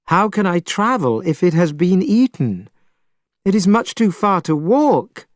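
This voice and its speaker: A man's voice: on the high side, animated, moderate pace, no room sound, clean studio sound, pitched above the performer's own voice, close to an everyday voice. A man doing a weepy young priest. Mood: whining. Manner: whining, tearful.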